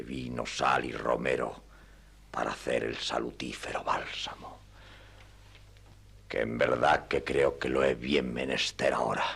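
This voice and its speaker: Pompous old knight for radio theatre, super deep and raspy, takes his time. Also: sharp tone, full character voice. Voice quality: gravelly, husky, breathy. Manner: pompous, archaic, solemn delivery. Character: proud, pompous, battered old knight-errant. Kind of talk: radio drama acting.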